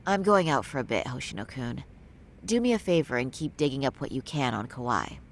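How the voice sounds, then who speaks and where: A woman voicing a reserved, dryly polite young lawyer in a video game English dub, measured and understated. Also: a bass voice, animated, a little hiss or hum. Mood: calm, businesslike.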